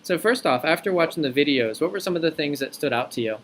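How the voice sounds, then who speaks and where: Slightly high-pitched male interviewer, structured explainer. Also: lively intonation, quick pace, a little hiss or hum, poor audio. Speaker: company recruiter interviewing an applicant by phone. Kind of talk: explanatory job interview talk.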